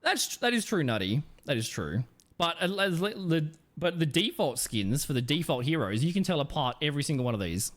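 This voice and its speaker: A man's voice: on the high side, big pitch swings, fairly quick, a bit bright. A man streaming a game live, chatting casually with viewers and giving rambling, blunt commentary full of slang and some swearing.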